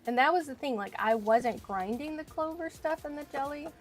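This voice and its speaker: Woman game streamer, slightly high-pitched, rambling casual chat over faint music. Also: animated, moderate pace. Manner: chatty, thinks aloud, rambling with many "like"s. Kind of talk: casual live-stream chat while playing.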